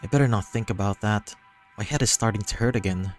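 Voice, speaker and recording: A man reading a visual novel as dramatic first-person narration, pausing between short phrases. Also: lowish voice. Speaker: reader voicing a visual novel. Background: music.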